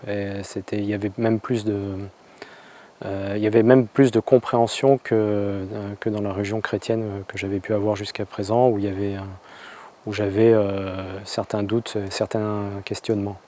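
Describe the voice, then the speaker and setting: A man from France recounts his own story in a lowish, mellow voice. He talks in a flat, even tone and keeps stopping to restart his sentences. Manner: halting, with many hesitations and restarts. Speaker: convert telling his story. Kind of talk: personal testimony.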